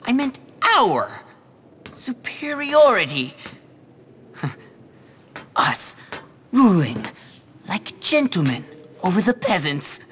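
A melodramatic, self-important teenage nerd in a video game. The voice is high and bright, with slow, grandly wordy phrasing. It rings in a reverberant hall with faint noise. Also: male voice, sing-song, full character voice. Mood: grandiose scheming. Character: scheming, self-important, panicky nerdy teenage schoolboy. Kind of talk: video game cutscene acting.

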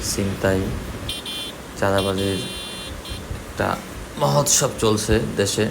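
A man's voice: fairly low pitch, slow. A man with a Bangladeshi accent giving casual running commentary as a vlogger, recorded outdoors on a moving motorbike. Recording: clear traffic and wind-like road noise. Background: vehicle and road noise.

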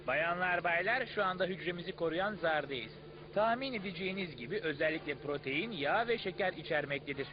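A man's voice: on the high side, animated, brisk, close to an everyday voice. A man playing a proud cell who lectures like a tour guide in a dubbed educational cartoon. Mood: proud explaining. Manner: didactic, showman-like. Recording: dull lo-fi audio, music behind.

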